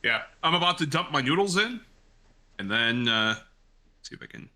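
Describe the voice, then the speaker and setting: A man's voice: a little high, expressive, normal speed, bright, forceful tone. A man who works in radio, cooking along in his home kitchen and chatting in a rambling, self-deprecating way. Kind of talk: casual chat while cooking. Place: home kitchen with gas stove.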